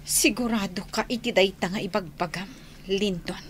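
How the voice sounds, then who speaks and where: A woman's voice: medium pitch, moderate pace, slight background noise, lightly acted. A woman playing a guarded young daughter questioning an unwelcome suitor in an Ilocano radio drama, with an Ilocano accent.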